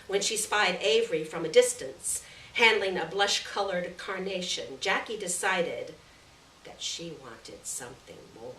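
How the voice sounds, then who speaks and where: A woman reading a literary short story aloud at a live event, in a measured, deliberate storytelling manner with dramatic pauses. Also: medium pitch, fairly bright tone. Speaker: reader of literary short fiction. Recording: echoey room, distant mic, faint noise.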